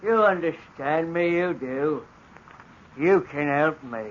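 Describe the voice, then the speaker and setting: An actor playing a simple-minded, confused young stable hand, talking in a slow, dazed, childlike way. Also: male voice, a little high. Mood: pleading. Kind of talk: 1940s radio acting. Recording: dull old radio recording with hiss.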